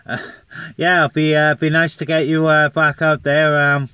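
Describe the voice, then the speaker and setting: A man chatting casually over CB radio from a parked car on open moorland, relaxed with lots of fillers. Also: fairly high pitch, normal speed, clear, resonant tone, dry recording, lo-fi sound. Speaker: CB radio operator and vlogger. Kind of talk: casual on-air radio chat.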